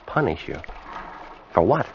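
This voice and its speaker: A man's voice: low-pitched, big pitch swings, a little slow, creaky, a little hiss or hum, heavily acted. An actor playing an earnest ship's officer who tells his tale in an old radio drama with grave, tense delivery. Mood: uneasy. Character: earnest, steady ship's officer recounting a haunted voyage. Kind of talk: dramatic first-person narration, 1940s-50s radio acting. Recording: muffled old radio recording, dramatic score.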